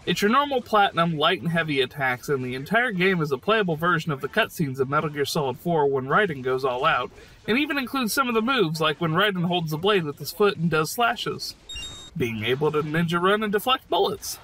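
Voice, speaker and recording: A man with a fairly high, bright voice delivers scripted video game commentary. He is emphatic and informal, speaking like a keen fan. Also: big pitch swings, a little fast, rough recording. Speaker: host narrator of a video game praise series.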